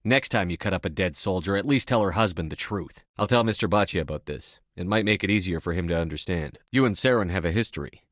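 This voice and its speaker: A man's voice: low-pitched, quick pace, few pauses, a bit bright, dry recording, clean studio sound. A man playing a commanding, no-nonsense military officer in a video game, speaking in a terse, direct, authoritative way. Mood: firm, insistent. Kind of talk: scripted game dialogue.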